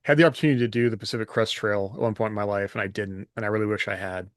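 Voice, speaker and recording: A man's voice: fairly low pitch, fairly even intonation, very fast, no echo. Candid American man chatting casually, close dry sound. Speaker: podcast co-host, writer. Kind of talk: casual chat.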